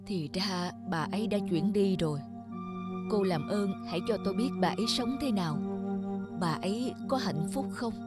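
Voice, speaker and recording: A man's voice: high voice, normal speed, over loud music, close to an everyday voice. A man voicing a regretful, hesitant older man longing for a lost love in a cartoon. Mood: hesitant, anxious. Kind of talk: cartoon voice acting.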